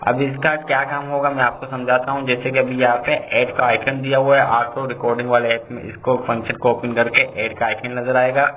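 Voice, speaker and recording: Flat-toned man, thin phone-like recording. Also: mid-range voice. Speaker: tutorial host. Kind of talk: step-by-step phone tutorial narration. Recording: tinny phone-like audio, background noise.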